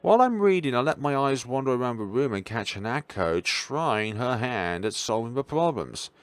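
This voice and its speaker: A young man reading a visual novel aloud as a let's player, expressive but sometimes stumbling, with casual commentary of his own. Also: mid-range voice, moderate pace, clear, resonant tone, dry recording.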